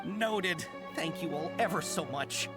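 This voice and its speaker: Casting: grateful young female merchant for an anime game, polite, effusive, swooping pitch, clear music behind. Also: lively intonation, measured pace. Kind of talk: anime video game voice acting.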